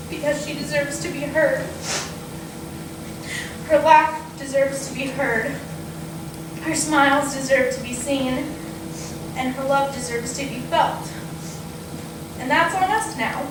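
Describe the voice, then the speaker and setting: A young woman reading a prepared, heartfelt eulogy to a gathering, earnest with touches of dry humour. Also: high-pitched. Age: young adult. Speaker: niece giving a memorial tribute. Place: indoor memorial gathering at an acting studio. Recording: very echoey, distant, noisy room recording.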